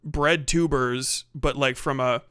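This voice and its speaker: Streamer, male, casual profane commentary, mumbles asides; smooth voice, dead-dry room. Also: mid-range voice, fairly even intonation, slow pace, fairly bright tone, no echo. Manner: profane, muttering to himself while working. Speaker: livestreamer.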